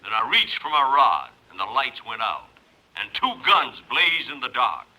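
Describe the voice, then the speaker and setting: A man's voice: medium pitch, sing-song, normal speed, pushed and bright, poor audio. A man narrating verse melodramatically in the style of a 1950s radio comedy. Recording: old radio recording, faint noise.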